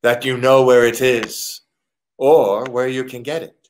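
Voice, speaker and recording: An actor playing a polite, wary, menacing crook in a radio drama, formal, fussy and precise. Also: male voice, medium pitch, little pitch movement, a little slow, slight background noise, natural voice. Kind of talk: old-time radio drama acting.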